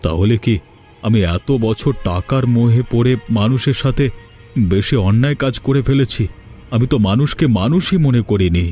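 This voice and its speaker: An actor playing a rich, proud village landlord who turns humble, in a moral cartoon dub. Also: male voice, medium pitch, animated, normal speed, mellow, natural voice. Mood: remorseful. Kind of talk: Bengali moral cartoon dubbing. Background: background music.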